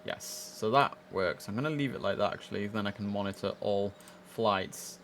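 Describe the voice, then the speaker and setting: A man's voice: fairly low pitch, moderate pace, a bit bright. A man giving casual, chatty gameplay commentary as he plays air traffic controller, thinking aloud in an informal way. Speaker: streamer playing an air traffic control simulator.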